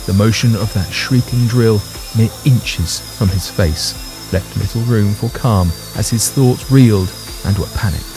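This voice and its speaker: A man narrating a scripted audio drama in a grave, theatrical storytelling manner. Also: fairly low pitch.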